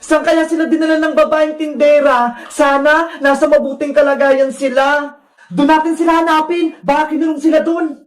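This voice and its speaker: A woman's voice: sits high, level tone, moderate pace, lightly acted. A woman voicing a brave, eager young schoolchild in a children's cartoon story, bold and childlike. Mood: searching, urgent. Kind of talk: children's animated story acting.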